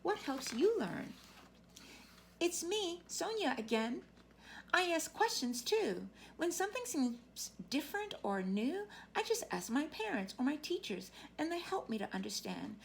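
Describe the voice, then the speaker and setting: A woman reads a children's book aloud in a fairly high voice that rises and falls in a very lively way. She phrases deliberately, like a teacher addressing young listeners. There is faint noise behind her. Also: vocal fry. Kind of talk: expressive children's read-aloud narration.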